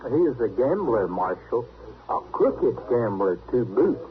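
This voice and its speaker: An actor playing a young, plain-spoken backwoods loner with a rural American drawl in an old-time western radio drama. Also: male voice, medium pitch, big pitch swings, measured pace, slight background noise. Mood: matter-of-fact. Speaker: trapper. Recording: muffled vintage radio recording.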